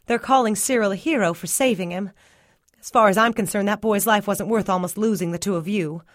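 A woman's voice: mid-range voice, a little fast, dry recording, studio quality, close to an everyday voice. A woman reading a story aloud and voicing a stern, worried mother who scolds her child. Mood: weary. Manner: scolding, weary.

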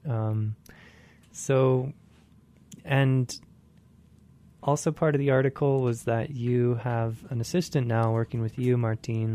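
Male interview host, mellow and fry-laden, conversational and halting. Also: mid-range voice. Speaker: radio host.

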